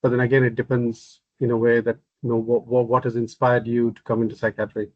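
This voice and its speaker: A man speaking as a psychiatrist on a podcast, in a flat, level voice that barely rises or falls. He explains things in a measured way and often repeats words. The sound is dry and muffled. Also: mid-range voice, no room sound. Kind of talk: podcast interview answers. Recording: dry, dull, muffled audio.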